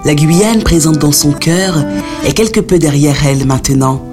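A documentary narrator reads a scripted introduction in a measured, even way. Music runs clearly beneath the voice throughout. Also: mid-range voice. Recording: music behind.

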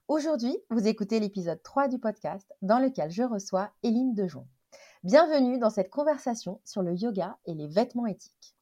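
A woman hosting a podcast with a slightly higher voice than most. She reads her introduction in a gentle, measured way. Her questions come with a few soft fillers. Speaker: podcast host. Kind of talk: scripted intro then interview.

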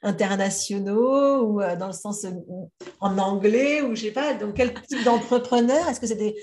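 A woman's voice: mid-range voice, normal speed, darkish tone. A woman hosting a podcast, chatting casually and asking questions with many fillers. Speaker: podcast host. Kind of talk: casual interview talk.

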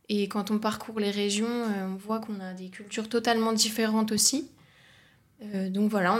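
Chatty young woman, many fillers. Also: mid-range voice. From near the Luxembourg border, France. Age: young adult. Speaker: guest, agency co-founder. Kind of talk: podcast interview.

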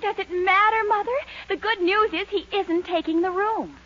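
A sweet young woman in a 1940s radio sitcom. Her voice is very high and bright, with an admiring tone. The old recording has faint noise. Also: moderate pace, highs cut off. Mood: sweetly pleased.

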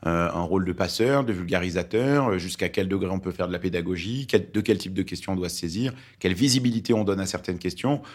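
A man's voice: deep voice, fast talker, hardly any pauses. A man in his forties from Lebanon, a native Arabic speaker, talking thoughtfully as a journalist guest with many hesitations. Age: 40s. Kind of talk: interview conversation.

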